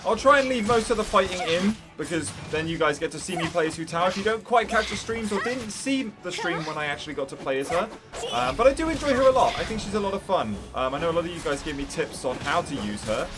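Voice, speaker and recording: A man with a fairly high, lively voice gives casual gameplay commentary. He is chatty and enthusiastic, thinking aloud over faint game music. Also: fast talker. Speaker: gaming video host.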